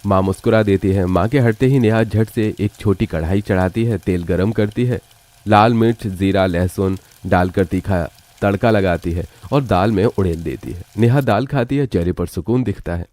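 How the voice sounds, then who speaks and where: A man's voice: lowish voice, normal speed, a little mellow. A man narrating a scripted cartoon story as its storyteller.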